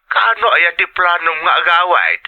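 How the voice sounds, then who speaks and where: A man's voice: sits high, wildly swooping pitch, moderate pace, heavily acted. Affectionate older father on a muffled phone line. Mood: fond, grateful. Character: affectionate, grateful older father of a grown daughter. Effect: telephone filter. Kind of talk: audio drama acting. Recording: dull, muffled telephone-like sound.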